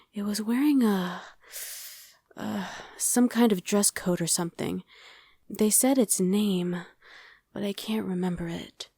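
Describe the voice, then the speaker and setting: A woman's voice: medium pitch, mellow, no echo, studio quality. A woman playing a friendly, streetwise young survivor of a demon invasion in an ASMR roleplay, talking casually and matter-of-factly to the listener with some hesitation. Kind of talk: ASMR roleplay, conversational acting addressed to the listener.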